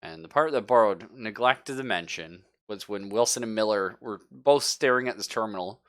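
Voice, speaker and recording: Male game master, low register, informal and jokey, casually narrating a sci-fi horror tabletop session. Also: normal speed, a bit bright, no room sound, clean studio sound.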